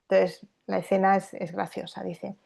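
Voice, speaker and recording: A woman university lecturer giving an academic talk, thinking aloud with pauses, fillers and restarts. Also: mid-range voice, level tone, creaky, a little mellow. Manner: thoughtful, hesitant, with many fillers and tag questions. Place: lecture hall at a public talk.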